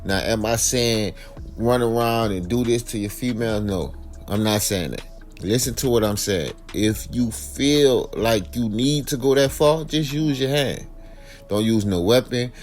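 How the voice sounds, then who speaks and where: A man's voice: mid-range voice, measured pace. An American man hosting informal true crime commentary, speaking colloquially and straight to his viewers, repeating phrases for emphasis. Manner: colloquial, direct address to viewers, emphatic repetition. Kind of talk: informal crime storytelling with personal opinion. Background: background music.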